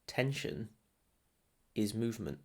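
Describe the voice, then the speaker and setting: Slow, low-voiced man guiding meditation. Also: sing-song. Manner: soothing, unhurried, with long pauses between short phrases. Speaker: meditation teacher. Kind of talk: guided meditation, calm instruction.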